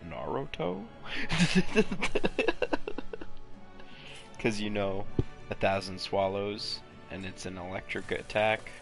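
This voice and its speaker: Low gravelly young man chatting while gaming. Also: expressive, takes it slowly, long pauses. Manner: chatty, laughs, swears, hums to himself. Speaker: game streamer. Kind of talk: casual live gameplay chat. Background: game music.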